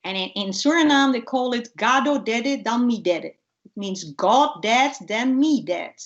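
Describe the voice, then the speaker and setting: A woman, a guest researcher telling stories in an informal interview with animated, acted-out examples. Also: a little high, measured pace. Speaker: guest ethnobotanist. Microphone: video call. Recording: dull, lo-fi video call.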